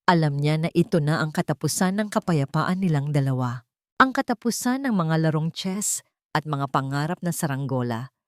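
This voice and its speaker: A woman's voice: deep voice, lively intonation, moderate pace, no room sound, clean studio sound. A woman narrates a dramatic scripted story in a solemn, emotive way.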